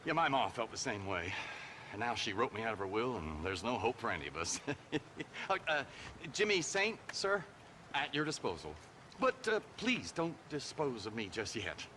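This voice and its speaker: A man playing an excitable, chattering magazine writer in a Western video game, gushing, wordy and pleased with his own wit. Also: mid-range voice, normal speed, noisy background, natural voice. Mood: eager, chatty. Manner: gushing, wordy, self-amused, repeats himself. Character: excitable, chattering male East Coast magazine writer out West. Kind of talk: theatrical video game acting.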